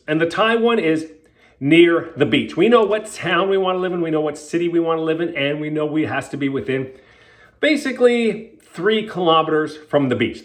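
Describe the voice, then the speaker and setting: Emphatic podcaster with a sniffle. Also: male voice, on the high side. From Canada. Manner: candid, emphatic, with fillers and swearing. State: sniffly from a cold. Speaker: podcast host and health coach. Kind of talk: casual solo podcast monologue.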